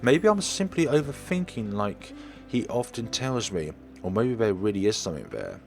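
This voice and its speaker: A man's voice: lowish voice, very animated, moderate pace, creaky. A man reading a visual novel aloud in a dramatic storytelling manner. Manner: dramatic read-aloud narration. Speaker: let's player. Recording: music playing behind the voice. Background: game music.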